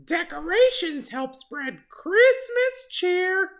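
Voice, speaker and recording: A woman voicing an excitable, festive female oxpecker bird in a children's storybook, chirping with insistent, drawn-out exclamations. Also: extremely high pitch, pitched above the performer's own voice, exaggerated voice. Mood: excited, insistent.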